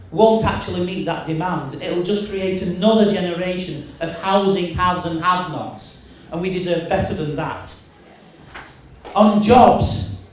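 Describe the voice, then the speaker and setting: Loud high-voiced man in an echoey hall. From Wigan, England. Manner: loud, emphatic speaking voice with repeated restarts. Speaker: mayoral candidate. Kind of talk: campaign speech. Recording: echoey hall, mic from far off.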